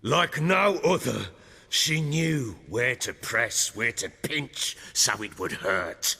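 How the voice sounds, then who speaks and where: Gravelly, volatile hard-drinking lord. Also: male voice, medium pitch, slow pace, pushed and bright, big-room sound, full character voice. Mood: bitter, brooding. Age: middle-aged. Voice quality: gravelly, rough. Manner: coarse, theatrical, brooding. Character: gruff hard-drinking lord, proud, volatile, bitter husband. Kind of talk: video game voice acting.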